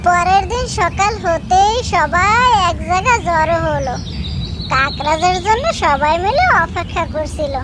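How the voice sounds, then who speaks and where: Very high-pitched woman narrator, noisy recording. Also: unhurried. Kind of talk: scripted cartoon storytelling. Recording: clearly noisy background.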